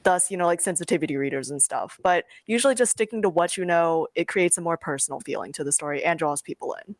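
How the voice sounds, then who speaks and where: A woman's voice: fairly low pitch, quick pace, no echo. A young adult woman giving advice in a casual panel talk, rambling informally and emphatically. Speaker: guest webcomic creator.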